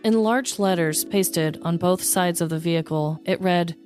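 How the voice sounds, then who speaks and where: An American woman hosts a storytelling podcast, reading scripted comic true-crime narration. Her voice sits somewhat low for a woman. Soft music runs behind her. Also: a little slow.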